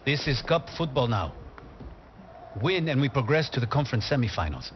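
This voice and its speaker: A man acts out an earnest middle-aged football coach in a video game drama. He speaks in a solemn, measured, motivational way at a medium pitch, with faint noise behind him. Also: big pitch swings, lo-fi sound, close to an everyday voice. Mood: solemn, rousing. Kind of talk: scripted game drama, pre-match team talk.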